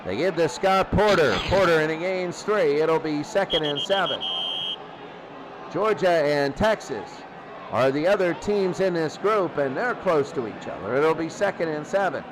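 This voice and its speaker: Male football commentator, high register, excitable and conversational, noisy recording. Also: normal speed. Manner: excitable, conversational asides between plays. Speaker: play-by-play commentator of a simulated football tournament. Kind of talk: live American football radio-style commentary.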